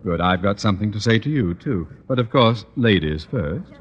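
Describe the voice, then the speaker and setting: An actor in an old-time radio comedy playing a pompous, short-tempered tyrant of a boss, mock-polite and gloating. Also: male voice, lowish voice, expressive, moderate pace, highs cut off, close to an everyday voice. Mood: smug, mock-polite. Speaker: supporting actor in a radio sitcom. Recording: reverberant vintage radio stage recording.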